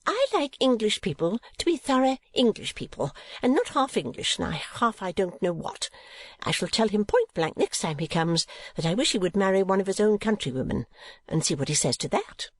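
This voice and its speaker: A woman's voice: medium pitch, wildly swooping pitch, moderate pace, slightly rough, no echo, voice pushed up, heavily acted. A woman voicing a garrulous, gushing, easily affronted middle-aged mother in an audiobook, emphatic and exclamatory. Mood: emphatic, argumentative. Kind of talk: audiobook character acting.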